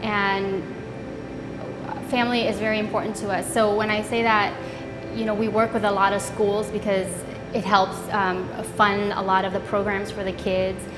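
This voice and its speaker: A woman's voice: mid-range voice, normal speed, big-room sound, music in the background, rough recording. A middle-aged woman from Vietnam, co-founder of an ice cream shop, talking casually in an interview with frequent ums and restarts. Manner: relaxed, with many ums and restarts.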